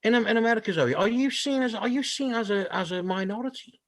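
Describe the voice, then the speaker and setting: A man moderating a panel discussion over a video call, thoughtful and halting, with frequent ums and restarts. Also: high voice, animated.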